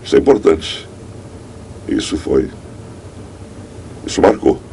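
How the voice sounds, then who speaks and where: Very deep, dark-voiced man reminiscing. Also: very animated, slow, vocal fry, distant mic, slight background noise. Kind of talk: reminiscing interview.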